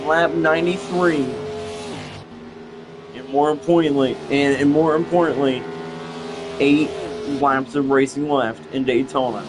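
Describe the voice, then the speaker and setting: A young male commentator calling a simulated stock car race play-by-play, halting and often repeating himself, with engine noise and music behind him. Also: on the high side, fairly even intonation. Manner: halting, repeats phrases, restarts.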